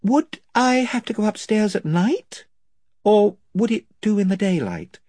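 Man doing a young girl voice, lifted way up, earnest and straight-talking, a bit breathy. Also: high voice, animated, a little slow, dark tone, no room sound, pitched above the performer's own voice. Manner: direct, questioning.

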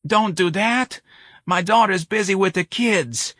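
Give me a man doing a weepy, frightened old grandfather, pleading in a high voice. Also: unhurried, a bit bright, no room sound, clean studio sound. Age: older. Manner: pleading, tearful.

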